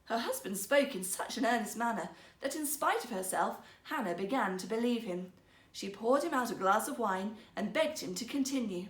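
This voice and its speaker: A woman's voice: fairly high pitch, lively intonation, normal speed, recorded from a distance. A woman storyteller reading a fairytale aloud in a theatrical style. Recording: roomy, echoing sound.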